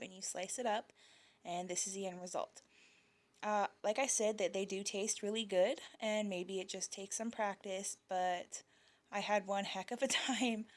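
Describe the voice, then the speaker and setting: A young woman talking casually about her kids' lunches, chatty with small laughs, her voice slightly worn. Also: mid-range voice. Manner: chatty, laughs lightly, fillers like um and uh. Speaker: parent showing kids' lunches. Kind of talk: casual voice-over vlog.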